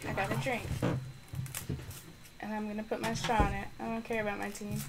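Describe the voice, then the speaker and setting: A woman's voice: mid-range voice, level tone, brisk, very noisy. Chatty woman streaming a game live. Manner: chatty, thinking aloud and reading out chat. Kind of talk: live gameplay stream commentary. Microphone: microphone on the floor.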